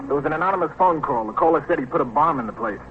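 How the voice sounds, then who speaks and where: Male police sergeant played by an actor, vintage radio crime drama: rapid, respectful, clipped reports, thin phone-like sound. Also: a little high, expressive, lightly acted. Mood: dutiful. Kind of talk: 1950s radio drama acting. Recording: tinny, noisy vintage radio recording.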